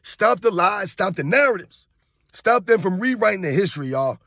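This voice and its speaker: Hoarse man ranting, high-pitched and dry. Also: lively intonation, moderate pace, bright, forceful tone, no echo. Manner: emphatic, repetitive, addresses viewers as guys. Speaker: sports commentary YouTuber. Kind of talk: casual opinion rant to camera.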